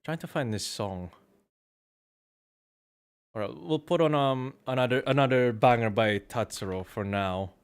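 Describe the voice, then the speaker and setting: A man's voice: medium pitch, measured pace, long pauses, smooth. A man chatting casually on a livestream, rambling and swearing freely. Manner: profane, rambling. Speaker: streamer.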